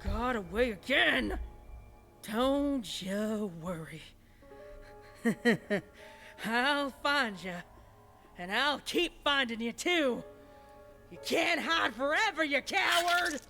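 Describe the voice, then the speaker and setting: A woman's voice: high voice, animated, slow pace, long pauses, faint music behind, pitched above the performer's own voice, heavily acted. A woman voicing a deranged, paranoid country farm pony with a rural Southern American accent, rambling and stammering with vengeful menace in a horror story reading. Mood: cold, vengeful. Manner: rambling and stammering, snapping into angry shouts. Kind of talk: dramatic horror fan fiction reading.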